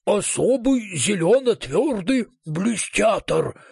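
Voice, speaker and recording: A man's voice: a little high, measured pace, vocal fry, breathy edge, no room sound, clean studio sound, full character voice. A man voicing an eccentric, pedantic stegosaurus professor in a storybook reading, exclaiming and drawing out his words. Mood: pompous. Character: eccentric huge nimble stegosaurus professor, pompous inventor.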